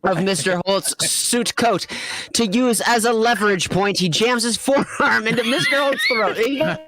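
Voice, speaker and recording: A woman hosting and narrating a comedic amateur fan fiction reading in a giggly, halting way. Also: on the high side, a bit bright, no echo. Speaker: narrator and host.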